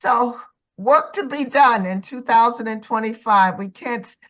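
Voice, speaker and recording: A woman's voice: fairly high pitch, a little slow, lo-fi sound. An older woman in her seventies or beyond, talking casually in a group discussion. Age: 70s or older. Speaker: church community member.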